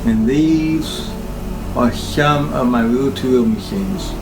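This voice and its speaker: An older man gives a casual, unscripted tour of equipment at a slow pace. His voice is medium in pitch, and his delivery is plain and halting, with trailing phrases. Music is clearly audible behind him. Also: low-quality recording. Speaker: collector presenting his tape machines. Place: indoor room full of audio gear.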